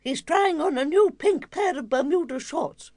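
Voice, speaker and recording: A man's voice: very high-pitched, animated, moderate pace, a little mellow, no echo, clean studio sound, pitched above the performer's own voice. A man voicing a wailing court official making formal announcements in an exaggerated way for a children's storybook. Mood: announcing, plaintive. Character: high-voiced, wailing official of a royal court.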